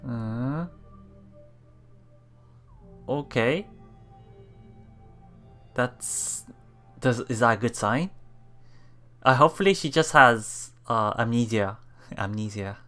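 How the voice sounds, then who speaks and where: A let's play streamer reading game narration aloud haltingly and adding casual commentary. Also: mid-range voice, bright, forceful tone, faint music behind.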